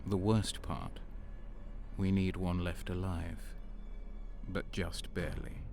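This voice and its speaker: Male actor as brooding, haunted soldier narrating a sci-fi war drama; low, grave, deliberate, music underneath. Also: fairly even intonation. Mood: grim, calculating. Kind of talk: dramatic scripted first-person narration.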